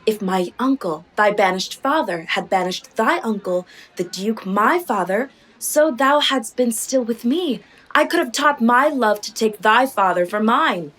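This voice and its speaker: This is a woman playing a loyal, witty young duke's daughter in a Shakespeare radio play. Her voice is fairly high and very animated, and she speaks effusively, with playful affection. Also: a little slow, poor audio. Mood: tender, earnest. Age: young adult.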